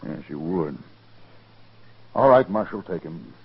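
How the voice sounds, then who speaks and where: An actor playing a cautious, reluctant but authoritative army major in a 1950s radio western. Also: male voice, deep voice, expressive, unhurried, husky, full character voice. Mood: resigned. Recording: dull lo-fi 1950s radio recording.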